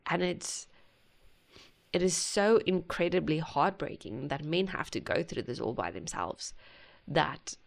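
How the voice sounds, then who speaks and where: A woman hosting a music reaction video, talking earnestly and haltingly with many pauses. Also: sits low, animated, gravelly. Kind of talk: casual reaction commentary.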